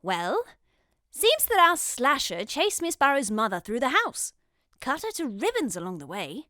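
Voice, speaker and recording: Eccentric lady doctor for audio drama: high-voiced, highly animated, wry, relishes the gruesome. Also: moderate pace, no room sound, studio quality, exaggerated voice. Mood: wry, measured. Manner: theatrical, wry, eager explaining. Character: eccentric female forensic doctor, excited by gruesome puzzles.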